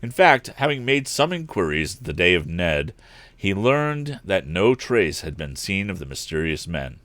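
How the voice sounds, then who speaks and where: A man narrating an adventure novel aloud as a storyteller, with an expressive read-aloud delivery. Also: low-pitched, measured pace, no room sound. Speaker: storyteller, live stream host.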